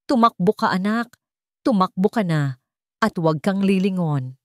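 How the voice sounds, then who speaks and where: A woman's voice: mid-range voice, expressive, normal speed, no room sound, lightly acted. An old Filipino household nanny as a woman storyteller plays her, protective and urgent. She gives commands in a dramatic audio story, recorded very dry. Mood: urgent, pleading.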